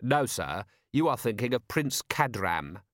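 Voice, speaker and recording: A man's voice: medium pitch, very animated, a little slow, dry recording, clean studio sound. A man voicing a shrewd, coolly commanding city ruler in an audiobook, precise, formal and sardonic. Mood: formal, correcting. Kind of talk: audiobook character voice.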